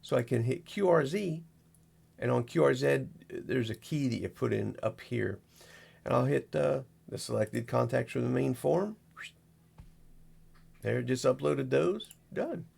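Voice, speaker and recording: A man with a gravelly, husky voice giving a casual, unscripted walkthrough as a video host, rambling in a folksy way and narrating each step as he goes. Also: medium pitch, measured pace, a little mellow. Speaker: amateur radio operator, video host.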